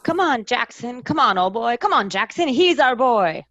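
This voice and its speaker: A woman playing an urgent, loyal gentleman friend in an amateur radio play read, hurried and exclamatory. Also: fairly high pitch, expressive, dull audio.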